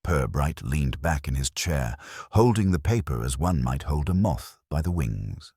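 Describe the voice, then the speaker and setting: A man's voice: very deep voice, animated, unhurried, a little mellow, slightly rough, no room sound. A man narrating a scripted mystery story in a dry, literary manner.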